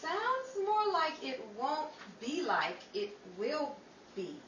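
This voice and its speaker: Woman playing a bright, high 1930s Hollywood gal. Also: very animated, slow pace, highs cut off, exaggerated voice. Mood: teasing, cheery. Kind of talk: 1930s radio comedy acting. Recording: echoey room, distant mic, faint noise.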